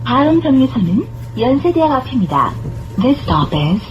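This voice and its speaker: A woman reading scripted bus stop announcements in a measured, formal manner. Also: high voice, big pitch swings, soft background music. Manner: measured, formal, clearly phrased. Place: played over speakers inside a moving bus. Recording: muffled recording with clear vehicle noise. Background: bus engine and road noise.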